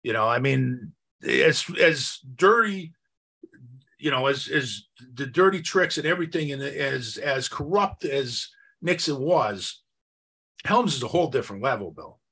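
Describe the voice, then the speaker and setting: A man's voice: mid-range voice, lively intonation, unhurried, sharp tone, slightly rough. A man co-hosting a podcast, talking through history in an insistent, questioning, conversational way. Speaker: podcast co-host.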